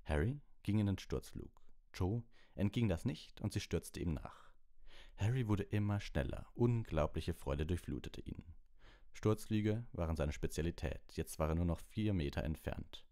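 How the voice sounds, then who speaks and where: A man's voice: low voice, normal speed, warm tone. A man reading a novel aloud as scripted audiobook narration.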